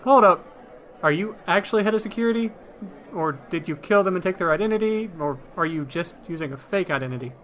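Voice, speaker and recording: A man's voice: high voice, fast, a bit bright, slight background noise. A man playing a wry, matter-of-fact town mage in a fantasy comedy audio drama, with dry explanatory banter.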